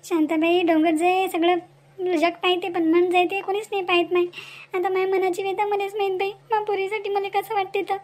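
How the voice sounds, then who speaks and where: A woman's voice: very high-pitched, level tone, fairly quick. A woman voicing an anxious, aggrieved village mother in an amateur cartoon melodrama, pleading plaintively in a Varhadi Marathi accent.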